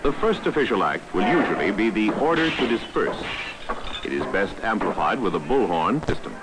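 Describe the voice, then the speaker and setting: A man's voice: fairly low pitch, sing-song, normal speed, background noise. A man narrating a 1960s training film from a script in a formal, authoritative, instructional manner, on a vintage soundtrack.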